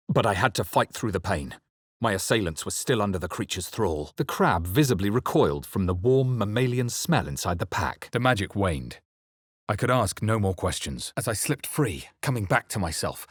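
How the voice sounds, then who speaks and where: A male actor playing a grave, brooding adventurer in a fantasy video game, with husky, measured, dramatic delivery. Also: lowish voice, no echo, clean studio sound. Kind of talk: scripted fantasy game narration, character lines and spell incantations.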